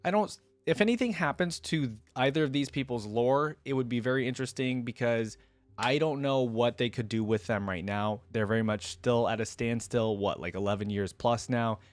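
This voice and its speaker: Man, medium pitch, casual reaction host, unscripted rambling chat, conversational, dry room-free sound. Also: normal speed, no echo.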